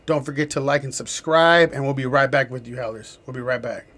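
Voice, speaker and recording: A man's voice: mid-range voice, flat delivery, a little fast, a bit bright. A man hosting a live podcast, talking loosely with restarts and filler words. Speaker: podcast host. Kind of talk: casual live-stream chat.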